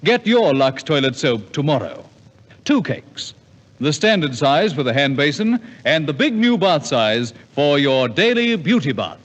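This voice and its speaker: A man's voice: on the high side, big pitch swings, unhurried. A man reading a scripted 1950s radio advertisement as a commercial announcer. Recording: muffled 1950s radio, long reverb.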